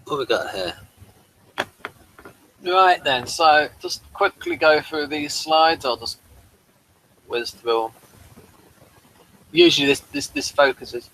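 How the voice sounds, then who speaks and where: Bright-voiced man chatting casually. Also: fairly high pitch, takes it slowly, long pauses, slight background noise, low-quality recording. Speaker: co-host of a sci-fi TV episode review. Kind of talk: casual chat reviewing slides.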